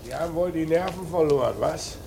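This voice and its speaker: An actor playing a brisk police commissioner in a German crime radio drama, questioning in a curt, probing way. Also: male voice, fairly high pitch, creaky, background noise.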